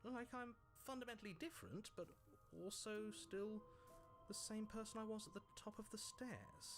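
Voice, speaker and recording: An actor playing an excitable, unsettled inner voice in a game hero's head, full of questions. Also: male voice, high-pitched, moderate pace, natural voice. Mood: startled, bewildered. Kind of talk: video game voice acting. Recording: music behind the voice.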